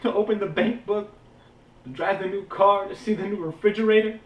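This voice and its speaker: A man playing an earnest, guilt-burdened young war veteran in a halting, intense, confessional stage monologue. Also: sits high, fairly even intonation, far from the mic, dull audio, lightly acted. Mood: impassioned. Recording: roomy, echoing indoor recording.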